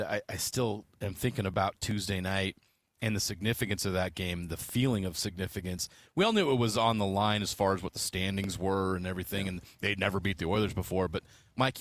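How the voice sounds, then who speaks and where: An American man co-hosts a sports talk show and interviews in a conversational style. His voice is fairly low, and the sound is dry with little echo. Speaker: sports radio co-host.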